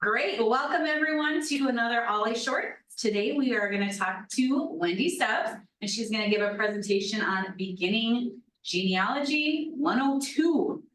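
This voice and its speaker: A woman's voice: on the high side, moderate pace, hardly any pauses, fairly bright tone, far from the mic. A woman hosting a short online talk series gives a brief, friendly introduction of the presenter. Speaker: host introducing the speaker. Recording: echoey room away from the mic.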